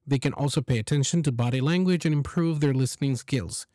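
A man's voice: mid-range voice, fairly quick, mellow, dry recording. A man hosting a podcast talks in a casual, earnest way and pushes his points with emphasis. The recording is dry and close. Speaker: podcast host.

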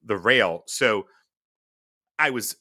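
A man hosting a podcast with a loud voice, chiming in eagerly during a casual conversation. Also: lowish voice, animated, takes it slowly, dry recording, clean studio sound. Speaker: podcast host.

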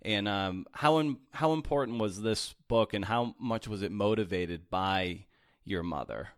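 A man's voice: on the low side, unhurried, no room sound, clean studio sound. A man hosting a podcast interview, asking long, rambling questions full of fillers and restarts. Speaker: podcast host.

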